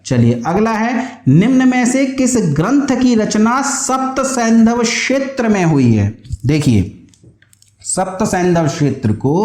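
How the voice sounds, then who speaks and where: A high-voiced Indian man teaches an exam-prep class in a lecturing style. He is didactic, restating key points so that they can be memorised. His voice carries a noticeable roomy echo. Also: a little mellow. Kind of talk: lecture explaining exam questions.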